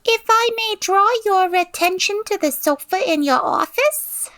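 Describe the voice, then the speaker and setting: A woman's voice: very high-pitched, lively intonation, a little slow, a bit bright, heavily acted. A woman playing a naive, chirpy young secretary in an old-time radio comedy. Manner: chirpy, guileless. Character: perky, naive young female office secretary, slightly ditzy.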